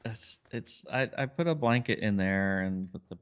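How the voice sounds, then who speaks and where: A man's voice: lowish voice, moderate pace, clean tone. A man chatting casually in improvised role-play as a police officer in an online game.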